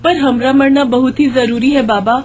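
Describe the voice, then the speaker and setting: A woman's voice: high voice, steady tone, moderate pace, a little mellow, voice pushed up, close to an everyday voice. A woman voicing a naive, devoted young village bride who speaks in rustic dialect turns of phrase, in an acted story. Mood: despairing. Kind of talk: Hindi moral story acting. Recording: music behind the voice.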